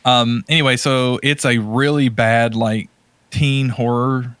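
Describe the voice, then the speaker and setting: A man's voice: mid-range voice, fairly even intonation, takes it slowly, a bit bright, clean tone, no echo. A man chatting casually as a podcast co-host, telling anecdotes in slangy, emphatic, exaggerated language. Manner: informal slangy speech, emphatic and hyperbolic.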